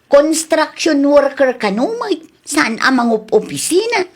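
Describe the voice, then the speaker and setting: An actress playing a blunt, skeptical, teasing friend in a comedy radio drama. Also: female voice, sits high, sing-song, a little slow.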